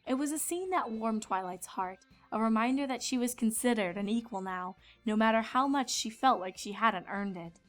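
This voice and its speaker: A woman's voice: fairly high pitch, normal speed, hardly any pauses, faint music behind. A woman reading scripted fan fiction narration as a storyteller.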